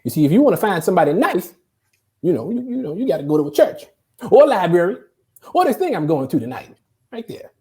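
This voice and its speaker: A woman's voice: on the low side, big pitch swings, quick pace, warm tone. A woman playing an opinionated, boastful old male barber in a comedy table read, folksy and argumentative. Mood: advising.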